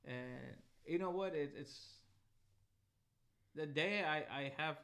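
A man's voice: fairly high pitch, slow, vocal fry, bright, forceful tone. A man, co-hosting a podcast in a laid-back, slangy, rambling chat. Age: 20s. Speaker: podcast co-host. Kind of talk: casual chat.

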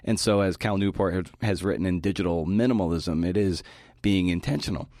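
A man's voice: low-pitched, fairly quick, a little mellow, no room sound, studio quality. A man hosting a podcast, delivering a prepared talk. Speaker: podcast host giving a talk. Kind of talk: prepared talk with interview clips.

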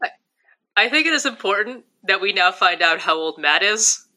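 Woman in her thirties, bright tone, wry, a little tired, podcast guest. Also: medium pitch, lively intonation, moderate pace. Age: 30s. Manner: laughing, wry. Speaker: guest, comics artist. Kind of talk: casual chat.

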